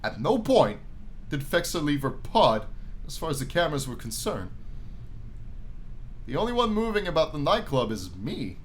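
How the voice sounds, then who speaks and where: Lively, higher-pitched male gaming host. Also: normal speed, long pauses, slight background noise. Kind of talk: visual novel let's play, reading game text aloud with casual commentary.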